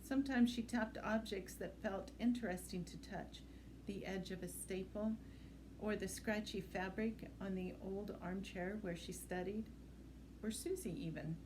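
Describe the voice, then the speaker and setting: A woman's voice: mid-range voice, fairly even intonation, unhurried, noisy background. A woman reading a suspense novel aloud in the style of an audiobook, as a library reader.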